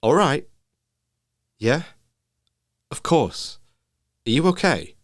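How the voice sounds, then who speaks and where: A man's voice: a little high, sing-song, takes it slowly, dry recording, studio quality, voice pushed up. Man voicing an exasperated, insistent mother. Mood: bewildered. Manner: questioning, insistent, emphatic. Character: exasperated, bewildered woman, a busy parent.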